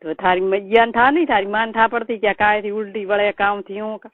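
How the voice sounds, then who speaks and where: A woman's voice: mid-range voice, steady tone, brisk, dry recording. A woman with a Marwari accent chatting casually on a private phone call in a blunt, gossipy way. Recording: tinny, narrow phone-call recording.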